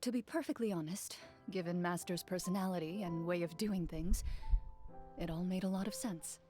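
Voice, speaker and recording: A woman playing a composed, dutiful young duelist in a video game, speaking in a measured, formal, matter-of-fact way. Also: on the low side, mellow, faint music behind, close to an everyday voice. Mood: calm, resigned. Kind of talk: scripted video game dialogue.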